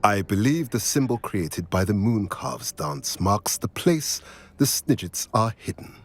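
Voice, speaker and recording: A slow, solemn centaur seer. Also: male voice, lowish voice, expressive. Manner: slow, measured, solemn and riddling. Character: wise old male centaur stargazer, calm and cryptic. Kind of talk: video game voice acting.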